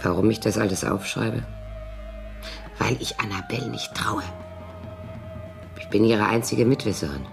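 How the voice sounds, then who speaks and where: An actress playing a tipsy, scheming older former nanny in a radio crime drama, brooding and halting in a theatrical way. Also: female voice, very deep voice, over music. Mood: brooding, conspiratorial. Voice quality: husky, creaky. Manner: brooding, halting, theatrical delivery dropping into whispers.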